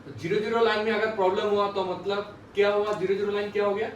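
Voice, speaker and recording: A man's voice: high-pitched, level tone, rapid-fire, a crowd in the background. A man teaching a repair class, explaining emphatically, repeating points and often checking with questions. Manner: repetitive, emphatic teaching with frequent rhetorical questions. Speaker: phone repair instructor. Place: indoor classroom. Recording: echoey room, distant mic, faint background noise.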